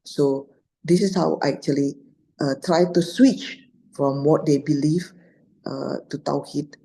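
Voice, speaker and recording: A middle-aged woman with an Indonesian accent shares her experiences as a guest scholar. Her voice sits low, with a dark, slightly raspy tone. She often repeats and rephrases as she searches for words. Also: unhurried, rough recording. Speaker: guest speaker, Islamic scholar. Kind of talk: personal storytelling in an online talk.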